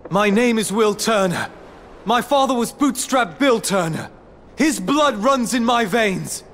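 An actor playing an earnest, defiant young adventure hero in a video game, urgent and declamatory. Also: male voice, high-pitched, expressive, measured pace, fairly bright tone, a little hiss or hum, heavily acted. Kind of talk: video game cutscene acting.

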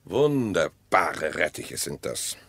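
An evasive, needling husband in a radio-play marriage gone sour. His lowish voice has a lively melody and a sharp edge. He speaks in a dry, measured, goading way. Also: gravelly, slightly rough, no echo, exaggerated voice.

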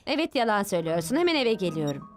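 A woman voicing a protective, quick-tempered cartoon mother who doubts and dotes, in a dub. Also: mid-range voice, soft background music, natural voice. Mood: suspicious. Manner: expressive, swinging between sweet and stern. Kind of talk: animated cartoon dub acting.

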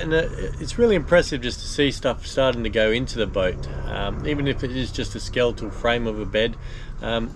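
A man's voice: on the high side, slight background noise. Easygoing man with lots of ums. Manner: relaxed and chatty, lots of ums and uhs. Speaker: boat restorer and channel host. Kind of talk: casual vlog narration. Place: inside a steel boat hull, then an outdoor patio.

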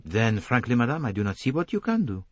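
A man voicing a calm, shrewd, courteous foreign detective, speaking formally and deliberately in an audiobook. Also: medium pitch, no echo, voice pushed up. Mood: measured. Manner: placid, detached, formal, deliberate phrasing.

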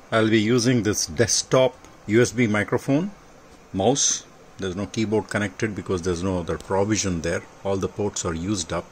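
Low-voiced older man, noisy recording. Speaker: amateur radio hobbyist presenting. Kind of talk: informal technical demonstration. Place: indoor home radio workshop. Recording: clearly noisy home workshop recording.

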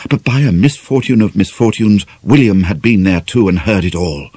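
A man's voice: lowish voice, moderate pace, warm tone, husky, no echo. A man with a British accent reading a novel aloud as a dramatic audiobook narrator.

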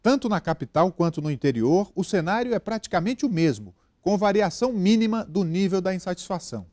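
Brazilian tv guy in a studio giving his take, high voice, swings around a lot, dry. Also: fairly quick, no room sound. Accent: Brazilian Portuguese. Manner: lively, emphatic opinion delivery. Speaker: TV news commentator. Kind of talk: studio commentary. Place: TV news studio.

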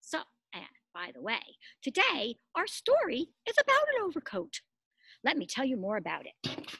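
A middle-aged woman reads a picture book to preschoolers in a high, slightly husky voice that swings up and down with expression. She repeats words for emphasis and checks in with simple questions. The sound is dry and open, recorded outdoors. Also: normal speed. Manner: expressive, addressing small children with simple words and emphatic repetition. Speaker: preschool teacher reading aloud. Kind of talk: children's story read-aloud with explanations and questions. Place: outdoors in a garden.

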